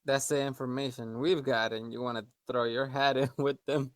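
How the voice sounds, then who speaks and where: A man's voice: medium pitch, steady tone, moderate pace, no echo, clean studio sound. A man playing a tabletop role-playing game, chatting casually and speaking for his character.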